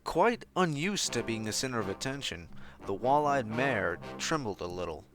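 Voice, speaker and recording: A man narrating a fan fiction story in an expressive, scripted reading style, with music behind. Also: medium pitch, moderate pace.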